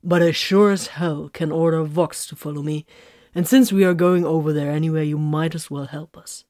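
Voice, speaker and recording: A woman voicing a stern, battered male soldier sergeant in a measured, commanding way. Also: sits low, steady tone, warm tone, no room sound, clean studio sound, lightly acted.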